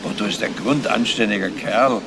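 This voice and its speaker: An actor playing a decent, guarded older retired schoolteacher and chess player in a German crime radio drama. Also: male voice, lowish voice, moderate pace, vocal fry. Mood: loyal, matter-of-fact. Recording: noisy radio drama with background ambience.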